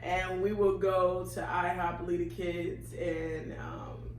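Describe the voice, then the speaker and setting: A woman co-hosting a casual relationship chat, playful and quick to laugh and tease. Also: fairly low pitch, flat delivery, roomy sound, slight background noise. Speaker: co-host.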